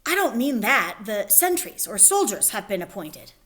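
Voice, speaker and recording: A woman's voice: medium pitch, sing-song, normal speed, fairly bright tone, pitched below the performer's own voice. A woman reading the part of a stern male king who hands down commands in a formal, proclaiming way. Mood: curt. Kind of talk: dramatic reading of a play.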